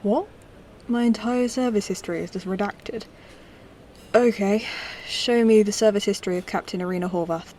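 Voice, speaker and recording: A woman's voice: mid-range voice, moderate pace, a little mellow, slight background noise, close to an everyday voice. A woman playing a curious, informal lieutenant in a science-fiction audio drama, talking casually as if to herself. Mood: puzzled. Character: curious, informal female lieutenant adrift in the future.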